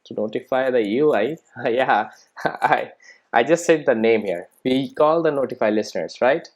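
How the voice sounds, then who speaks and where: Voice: medium pitch, lively intonation, normal speed, muffled recording. A presenter giving an informal programming tutorial, thinking aloud while working and often checking in with short rhetorical questions.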